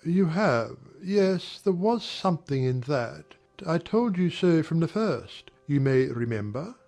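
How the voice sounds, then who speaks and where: A woman voicing a smooth-talking, self-pitying young gentleman in a dramatized audiobook, wistful and mannered. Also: deep voice, expressive, unhurried, soft background music. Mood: evasive. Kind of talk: dramatized audiobook character acting.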